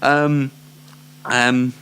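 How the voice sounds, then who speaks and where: A man's voice: medium pitch, flat delivery, extremely slow, bright, forceful tone, clear, resonant tone. A twenty-year-old British man presenting an informal solo online show in a dry, tongue-in-cheek, rambling way.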